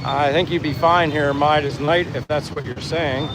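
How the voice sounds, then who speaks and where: Higher-voiced man livestreaming over outdoor noise. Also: fairly even intonation, normal speed. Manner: effusively thankful and friendly, addressing viewers directly. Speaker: livestream host. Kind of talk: casual livestream chat while walking, reading out viewer comments. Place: outdoors on the move, near traffic. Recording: clear outdoor traffic and engine noise.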